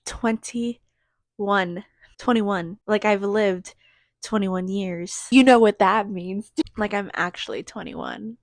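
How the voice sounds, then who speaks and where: A woman's voice: mid-range voice, slow pace, dry recording, studio quality. A woman in her twenties chatting casually to a phone camera in a vlog, rambling with lots of "like" fillers. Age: 20s. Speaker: vlogger. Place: indoors and in a car.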